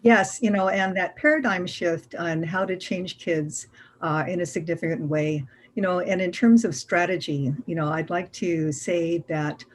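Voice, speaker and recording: A woman's voice: fairly low pitch, measured pace, a little hiss or hum. A woman curator speaking on a panel in an earnest way, with frequent fillers. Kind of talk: panel discussion.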